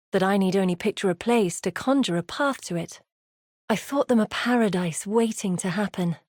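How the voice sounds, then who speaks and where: A woman's voice: medium pitch, a little mellow, dry recording, natural voice. Actress as determined exiled researcher woman, close dry recording. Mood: wistful, grave. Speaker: video game quest-giver character. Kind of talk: scripted video game voice acting.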